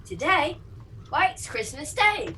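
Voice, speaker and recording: A woman's voice: high voice, very animated, slow pace, pushed and bright, full character voice. A woman playing a polite, eager young street boy in a radio play. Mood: puzzled, cheerful.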